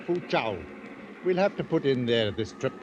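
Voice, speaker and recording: An old radio drama captain played by a man, stolid and literal-minded, his pitch rising and falling freely as he deliberates over small points. He is unflappable and pedantic, heard through the background noise of a vintage broadcast. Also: animated, lo-fi sound, natural voice. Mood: matter-of-fact. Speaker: actor playing a ship's captain. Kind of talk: 1940s radio acting.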